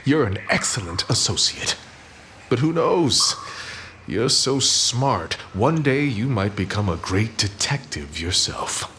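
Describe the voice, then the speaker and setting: An actor playing a commanding, condescending boss in a radio play. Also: male voice, on the low side, very animated, slow, bright, forceful tone, a little hiss or hum, heavily acted. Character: domineering male boss.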